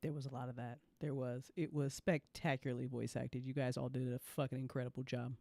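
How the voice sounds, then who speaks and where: A woman's voice: a bass voice, flat delivery, brisk, vocal fry, warm tone, no echo, studio quality. A woman hosting a casual after-show, effusive and encouraging with her guests. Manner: effusive, encouraging, swears freely. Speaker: host of a live after-show. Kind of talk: casual interview chat.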